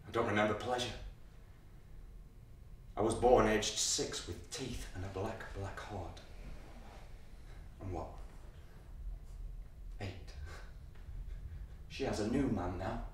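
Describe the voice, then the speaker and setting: A man's voice: sits high, expressive, unhurried, slight background noise, full character voice. An actor playing a frightened, defiant eight-year-old boy who swears and blurts in a solo stage monologue, heard in a very echoey space from far off. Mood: hushed, haunted. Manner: hushed then shouting in panic.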